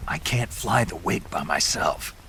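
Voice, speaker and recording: An actor playing a stern, protective, battle-hardened soldier in a dramatic video game, with a gruff voice. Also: male voice, deep voice, lively intonation, unhurried, bright, forceful tone, a little hiss or hum, full character voice. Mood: tense, determined.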